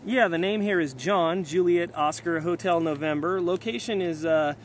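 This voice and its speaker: A man's voice: on the high side, normal speed, a little hiss or hum. A man speaking casually outdoors as an amateur radio operator, narrating and making calls with hesitant fillers. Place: outdoors on a hillside.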